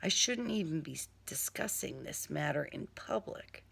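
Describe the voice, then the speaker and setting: Woman voicing a courtly, menacing male killer, slow and creaky. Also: on the high side, expressive, fairly bright tone, pitched below the performer's own voice, exaggerated voice. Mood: persuasive, controlled. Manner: formal, genteel, deliberate wording.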